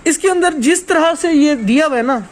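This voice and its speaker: A man's voice: very high voice, animated, moderate pace. A man giving an informal hands-on technical lesson as a trainer, explaining step by step and often checking that listeners follow.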